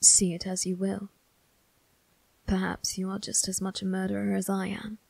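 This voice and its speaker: A woman playing a cold, menacing young male vampire crime boss in an ASMR roleplay, speaking in a breathy, husky half-whisper with a measured, condescending manner. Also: mid-range voice, monotone, a little mellow, dry recording. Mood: condescending menace. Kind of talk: ASMR character roleplay addressed to the listener.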